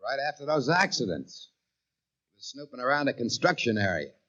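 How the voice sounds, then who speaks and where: A plain-spoken guard testifying in an old radio play. His mid-pitched voice is bright and hard-edged, on a slightly dull recording. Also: sing-song, fairly quick. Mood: accusing, matter-of-fact. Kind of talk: 1950s radio drama acting.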